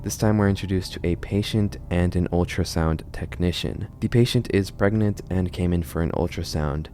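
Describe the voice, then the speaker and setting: A man's voice: sits low, moderate pace, warm tone, slight background noise. A man hosting a video essay on a horror series, explaining it in a conversational way. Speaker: video host, narrator.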